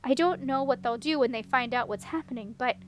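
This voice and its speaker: A woman plays an outspoken young princess from a storybook. Her voice is high and eager, excitable yet earnest, with faint noise behind it. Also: fairly quick, natural voice.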